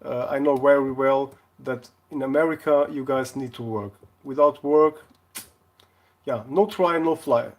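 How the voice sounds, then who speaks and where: Guy chatting on a livestream, medium pitch, jokey, keeps stopping to find the word. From Portugal. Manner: halting, searching for words, jokey. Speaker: live streamer, console repair video maker. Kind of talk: casual live stream chat.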